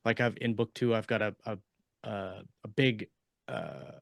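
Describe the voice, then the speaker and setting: A man's voice: on the low side, fairly even intonation, unhurried, vocal fry, no echo, studio quality. An American man chatting casually as an author on a podcast panel, groping for words as he explains. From United States.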